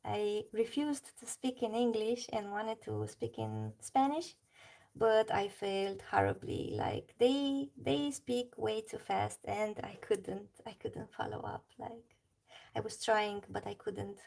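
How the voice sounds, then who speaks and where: Slow, creaky Romanian-accented woman. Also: mid-range voice, lively intonation, a little mellow. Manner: chatty, reads chat aloud, laughs often. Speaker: livestream colouring artist. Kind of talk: casual livestream chat while colouring.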